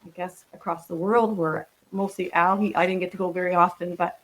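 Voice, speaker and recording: A woman in her sixties talks in a reflective, casual way. Her voice sits a little low. She pauses and restarts often. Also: steady tone, rough recording. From Netherlands. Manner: warm, reflective, with frequent ums and restarts. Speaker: guest. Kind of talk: casual interview conversation.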